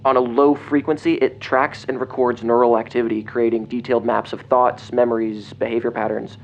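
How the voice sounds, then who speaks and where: A man playing an agitated investigator in an audio drama, speaking in a grave, halting, intense way over a phone. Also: medium pitch, flat delivery, lightly acted. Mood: urgent revelation. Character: agitated male investigator relaying grim secret documents by phone. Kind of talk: audio drama acting, partly reading documents aloud. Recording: dull lo-fi phone call, clear noise.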